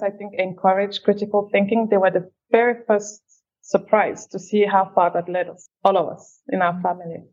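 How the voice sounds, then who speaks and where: A woman from Cameroon, a guest answering interview questions in a thoughtful, measured way that quickens on asides. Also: mid-range voice, darkish tone, highs cut off. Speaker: guest, feminist leader.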